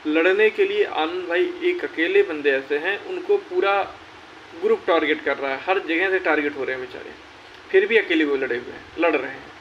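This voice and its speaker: Young man, urgent rallying talk, slightly high voice, muffled lo-fi sound. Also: normal speed. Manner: urgent, exhorting, repeats phrases for emphasis. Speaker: YouTube channel host. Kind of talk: rallying address to job candidates. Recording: dull lo-fi sound with faint hiss.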